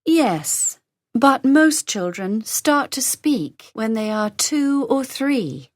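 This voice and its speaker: Woman, lesson dialogue reader: unhurried, pitch rising and falling a lot, dry close sound. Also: very animated, dry recording. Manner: slow, carefully enunciated, lines read three times over. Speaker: dialogue reader for English learners. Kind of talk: scripted lesson dialogue, each line repeated.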